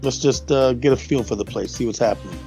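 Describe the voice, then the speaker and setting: A man's voice: mid-range voice, fast, dark tone, faint music behind. A man taking part in a tabletop role-playing game, talking casually as a player.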